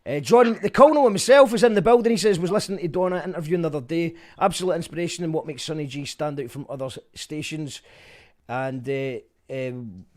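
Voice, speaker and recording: A man's voice: a little high, fast. A man hosting a livestreamed fundraiser, chatting with guests and reading out viewer comments. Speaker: host.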